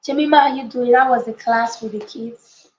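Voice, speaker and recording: Higher-pitched woman coaching in an echoey room. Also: moderate pace. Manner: emphatic, repeats phrases, checks in with listeners. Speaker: coach and book club leader hosting a live session. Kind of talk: motivational live teaching with informal chat to viewers. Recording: echoey room, slightly dull lo-fi audio.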